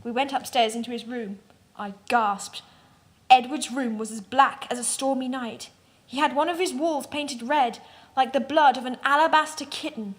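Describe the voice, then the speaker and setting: A woman's voice: high voice, moderate pace. A woman narrating a comic dramatic reading in a mock-serious, theatrical manner.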